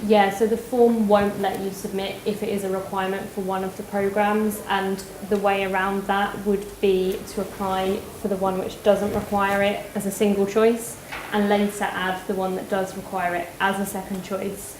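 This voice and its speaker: Brisk admissions woman in a roomy hall. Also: medium pitch, level tone, a little mellow. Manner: clear, explanatory, brisk. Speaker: admissions staff. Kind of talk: answering audience questions. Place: university event hall. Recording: roomy hall with faint noise.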